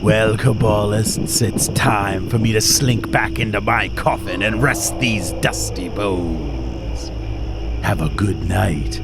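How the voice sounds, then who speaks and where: Very slow, creaky ghoul host. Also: male voice, lowish voice. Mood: gleefully macabre. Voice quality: creaky, gravelly. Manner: slow, drawn-out, punning, sinister. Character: ghoulish undead horror host, old bones, gleefully macabre. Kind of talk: horror anthology host outro. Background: loud music.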